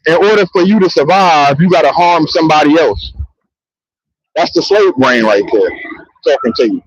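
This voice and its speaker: A man's voice: on the high side, slightly rough, lo-fi sound. A man talking into a handheld mic outdoors in public, in a loose, rambling and profane monologue that keeps circling back with "you know what I mean.". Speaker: vlogger. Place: outdoors in a public area near trains.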